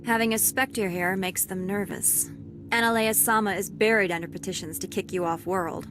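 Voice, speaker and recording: An actress playing a stern, fair-minded security captain and ex-marine in a video game, formal, measured and dry. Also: female voice, mid-range voice, fairly even intonation, background noise, lightly acted. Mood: dry, matter-of-fact. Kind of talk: scripted video game acting.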